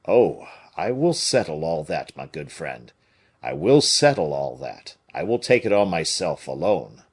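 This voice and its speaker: A man reading an audiobook, voicing a grave, measured conspirator bishop who speaks in a solemn, placating way. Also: low voice, sing-song, slightly rough, pitched below the performer's own voice, heavily acted. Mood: remorseful, pleading. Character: Aramis, grave, smooth-talking conspiring bishop.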